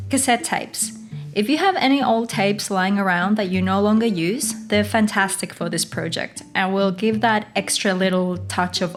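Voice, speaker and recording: A woman narrating a scripted craft tutorial as its host, giving step-by-step instructions. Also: mid-range voice. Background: music.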